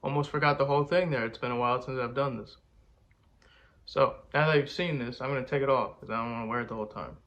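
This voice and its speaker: A man's voice: mid-range voice, quick pace, fairly bright tone, highs cut off. A man with a congested voice, talking to camera in a laid-back, slangy, rambling way. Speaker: rapper and video host. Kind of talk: casual talk to camera.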